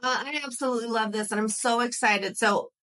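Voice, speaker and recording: A woman's voice: fairly high pitch, steady tone, brisk, fairly bright tone. A young adult woman hosting a podcast, chatting enthusiastically and often saying "like". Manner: enthusiastic, chatty, uses "like" a lot. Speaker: podcast host. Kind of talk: casual interview chat.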